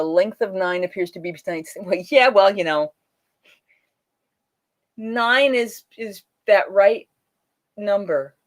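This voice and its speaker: A young woman hosting a casual livestream from her home, talking through a laptop microphone. She chats loosely with her viewers and reads their messages aloud between folk songs. She laughs often and keeps a relaxed, friendly flow. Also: medium pitch.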